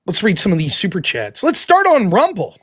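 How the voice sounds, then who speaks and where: A man hosting a livestream, reading out viewer donations and reacting, with a high-pitched voice and heavy breathing, profane and prone to yelling. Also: a little fast, background noise. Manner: animated, profane, yells about politics. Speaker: livestream host reading super chats. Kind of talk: casual livestream chat.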